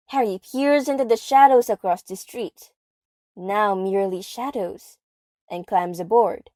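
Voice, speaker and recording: A woman's voice: mid-range voice, no room sound. A woman giving vivid, dramatic scripted narration for an audio drama. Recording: music under the voice.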